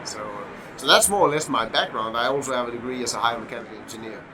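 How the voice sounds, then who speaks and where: A man's voice: medium pitch, fast. Middle-aged danish guy on a podcast, lots of uhs and restarts, noisy hum behind him. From Denmark. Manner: hesitant, many fillers and restarts. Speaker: guest. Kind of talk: casual podcast interview.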